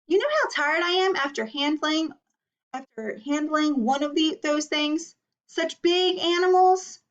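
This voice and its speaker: A woman's voice: sits high, fairly bright tone, pitched above the performer's own voice. A woman voicing an exhausted, exasperated gray crayon from a storybook, pleading emphatically for a break. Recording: dull, distant microphone.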